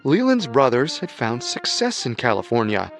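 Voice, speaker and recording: A man narrating a true-crime radio drama in a steady, storytelling manner. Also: medium pitch, normal speed, faint music behind. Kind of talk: scripted documentary narration.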